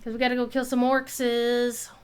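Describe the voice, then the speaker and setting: A woman giving casual live commentary while streaming a game, playful and expressive, with some salty language. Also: fairly high pitch, moderate pace, clean tone.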